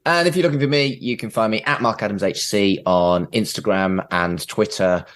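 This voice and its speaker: A man's voice: fairly low pitch, normal speed. A man hosting a podcast in a casual, conversational style. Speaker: podcast host.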